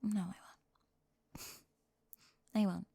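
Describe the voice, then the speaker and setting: A woman voicing a flustered teenage cheerleader bully with a secret crush, stammering and slipping into breathy half-whispers in an ASMR roleplay. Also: medium pitch, level tone, drawn-out words, mellow, no echo, voice pushed down, full character voice. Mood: tender, shy.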